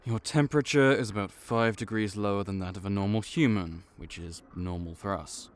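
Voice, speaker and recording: A man voicing a calm, bureaucratic male vampire counselor who has done the job for decades, speaking in a measured, clinical way in an audio roleplay. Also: lowish voice, close to an everyday voice. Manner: measured, clinical, patiently reassuring.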